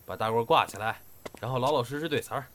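A man's voice: mid-range voice, fast talker, fairly bright tone, natural voice. A man playing an exasperated, bossy senior crosstalk partner in an audio drama, brusque and scolding. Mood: stern scolding. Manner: scolding, brusque, theatrical when reciting. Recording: faint background noise.

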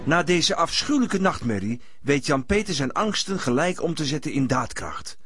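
A man's voice: fairly high pitch, sing-song, normal speed, fairly bright tone, breathy edge, dry recording, faint music behind, full character voice. A man playing a mock-solemn narrator, reading scripted narration for a satirical radio play.

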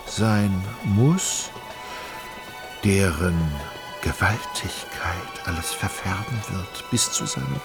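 Low-voiced male narrator over music. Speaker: narrator of a radio play. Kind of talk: scripted literary narration.

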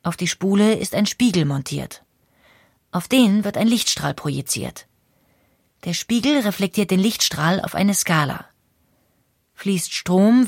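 Female documentary narrator, fairly low pitch, scripted, measured, explanatory, dry close sound. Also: no echo.

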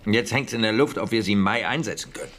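An actor playing a talkative, defensive wartime British intelligence officer in a German radio drama, speaking conversationally and emphatically. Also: male voice, lowish voice, expressive, fast talker, fairly bright tone, slight background noise. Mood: scoffing, defensive. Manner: conversational, emphatic, with hesitations and scoffing.

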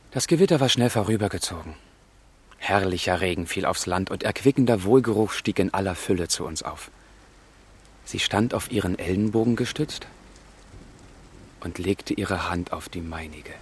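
An ardent young man from a romantic literary radio drama. His voice sits fairly low with a husky grain. He speaks earnestly and poetically, full of melancholy longing. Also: normal speed, pauses often. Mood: tender, reflective. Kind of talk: German literary radio drama, narration and dialogue.

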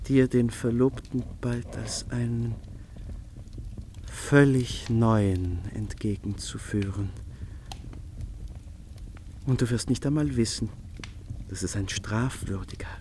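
An actor playing a remorseful, secretly tender schemer in a literary radio play, brooding theatrically and at times dropping into a whisper. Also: male voice, mid-range voice, dark tone, a little hiss or hum, close to an everyday voice. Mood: tender, guilty. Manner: slow, brooding, theatrical soliloquy with whispered phrases.

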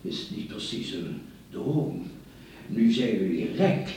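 An actor playing a self-satisfied, condescending doctor who leads crooks, pompous and theatrical, in a radio play. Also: male voice, on the low side, very animated, slow, dark tone, a little hiss or hum. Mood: smug. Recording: distant, very echoey radio play recording.

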